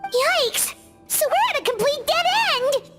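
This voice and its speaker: A woman voicing a small, gentle nonhuman head nurse who speaks in a sweet, earnest, soft way. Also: very high-pitched, expressive, measured pace. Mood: worried. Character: small gentle female nurse creature, caring. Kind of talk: game cutscene voice acting. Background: game music.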